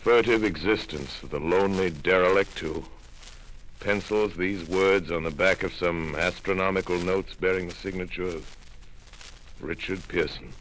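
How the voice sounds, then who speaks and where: A brooding, haunted astronomer, left alone in the world. His deep, low voice halts between words as he speaks in a 1930s radio play. A faint hiss from the old broadcast sits under every line. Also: steady tone, fairly bright tone, poor audio. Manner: brooding, halting, introspective. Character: lone shaken male astronomer, middle-aged survivor. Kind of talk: 1930s radio drama monologue.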